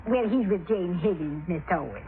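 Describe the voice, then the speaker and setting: A woman's voice: on the low side, expressive, brisk, natural voice. An actress playing a chatty, folksy, hospitable small-town woman with a Southern accent in an old radio drama. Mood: gossipy. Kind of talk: 1950s radio drama acting. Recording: tinny old radio broadcast.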